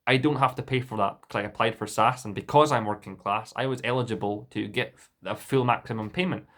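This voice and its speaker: A man's voice: on the low side, brisk, a bit bright. A young man from Scotland with a mild Dundee accent, talking straight to camera in an indignant, defensive monologue full of restarts. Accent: Dundee Scottish, mild. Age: young adult. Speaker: vlogger.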